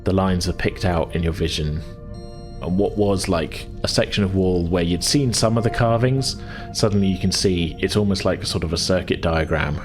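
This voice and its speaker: Deep-voiced man narrating a sci-fi tabletop game. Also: normal speed, music in the background. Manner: hesitant, conversational, descriptive. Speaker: game master.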